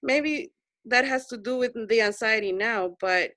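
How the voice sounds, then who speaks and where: Earnest forties woman on a video call, fairly high and bright voice, dry close sound. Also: a little slow, dry recording. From El Salvador. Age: 40s. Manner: earnest, repeats herself for emphasis. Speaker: Zoom meeting participant. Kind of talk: personal story in group video chat.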